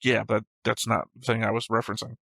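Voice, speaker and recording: A man hosts a casual reaction discussion in a bright, forward voice. His pitch stays fairly level and his delivery is matter-of-fact. The sound is dry, with little room on it. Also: flat delivery, quick pace, gravelly, no echo. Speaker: reaction show host. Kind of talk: casual discussion of an animated series.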